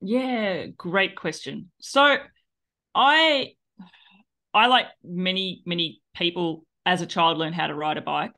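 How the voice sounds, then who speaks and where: Aussie woman on a headset, rambling friendly storytelling, kinda low voice. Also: slow, bright, forceful tone. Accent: Australian. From Australia. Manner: chatty, long-winded storytelling with laughs. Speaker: guest, cycling tour business owner. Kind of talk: casual video call interview. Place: small room at home. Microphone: headset on a video call.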